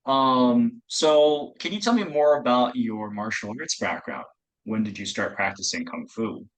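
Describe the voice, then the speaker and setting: A man's voice: medium pitch, unhurried, poor audio. A man introducing a channel and interviewing a guest, asking questions politely and encouragingly.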